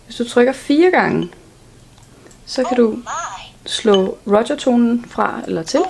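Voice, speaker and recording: A woman walks through instructions plainly, one step at a time. Her voice sits a little high and breaks into clear creak. The recording carries faint noise behind her. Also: expressive. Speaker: product demonstrator. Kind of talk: instructional product walkthrough.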